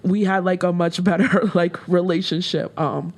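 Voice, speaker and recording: A woman rapper with a deeper voice, talking candidly as a guest in a casual interview, with frequent fillers. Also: steady tone, normal speed. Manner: candid, reflective, with laughs and many fillers.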